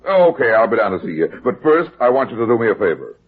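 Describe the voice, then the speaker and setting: Tough detective, husky low voice, terse, commanding, old-time radio drama, muffled lo-fi sound. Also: male voice, very animated, fast. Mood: brisk, determined. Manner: clipped, blunt, commanding. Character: tough, terse heavyset male private detective. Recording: muffled, lo-fi vintage radio recording.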